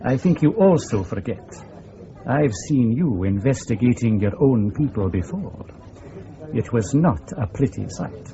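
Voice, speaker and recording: An actor playing a blunt, wry, middle-aged Scottish intelligence section leader in a radio drama, speaking in a dry, pointed way. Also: male voice, mid-range voice, expressive, unhurried, muffled recording, background noise. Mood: accusing.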